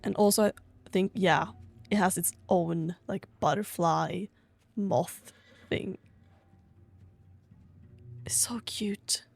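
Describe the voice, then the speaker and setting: A woman's voice: fairly low pitch, steady tone, slow pace, creaky, long pauses, slightly rough, faint music behind. A woman from Sweden chatting casually over gameplay in a gushing, rambling way, sounding a bit tired. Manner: gushing over cute things. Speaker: gaming vlogger.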